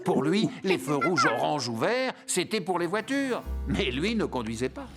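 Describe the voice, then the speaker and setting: A man as the storyteller of a children's cartoon, giving scripted narration in a clear, explanatory way. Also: on the high side, sing-song, moderate pace, over loud music. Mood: gentle explaining.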